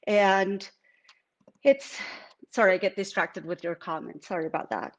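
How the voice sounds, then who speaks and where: A middle-aged woman with a Venezuelan accent speaks reflectively and casually in a live talk from her art studio. She talks with a lot of fillers and restarts, and her pitch sits at a middle level. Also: fairly even intonation, unhurried. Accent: Venezuelan Spanish. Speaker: artist. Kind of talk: live-streamed artist talk.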